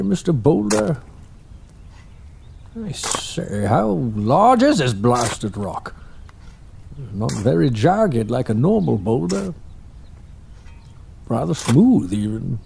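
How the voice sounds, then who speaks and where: An actor playing an excitable, pompous older scholar in an audio drama, speaking in a theatrical, drawn-out way. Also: male voice, medium pitch, vocal fry, slight background noise, exaggerated voice. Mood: grumbling, puzzled.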